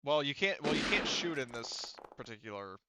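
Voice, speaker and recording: A man's voice: medium pitch, very animated, moderate pace, heavy background noise. A man running a tabletop roleplaying game online as game master, talking casually with swearing and muttering to himself.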